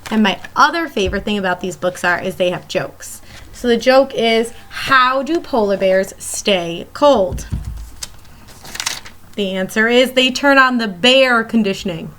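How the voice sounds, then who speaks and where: A woman's voice: a little high, measured pace, fairly bright tone, slight background noise. A woman teacher reading a nonfiction children's book aloud, talking straight to young listeners and explaining things simply. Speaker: elementary school teacher.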